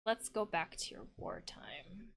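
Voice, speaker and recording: A woman's voice: mid-range voice, sing-song, unhurried, vocal fry, a bit bright, breathy edge. A woman playing a video game as a counselor, reading lines aloud and giving analytical, bluntly exasperated commentary in a casual way. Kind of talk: let's-play commentary, reading dialogue aloud and reacting.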